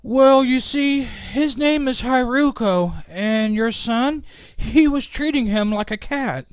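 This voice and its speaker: Man voicing a very high-pitched, earnest suburban dad. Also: expressive, slow pace, clean tone, no room sound, exaggerated voice. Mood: explaining, measured. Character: earnest, polite male suburban father airing grievances. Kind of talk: Minecraft roleplay voice acting.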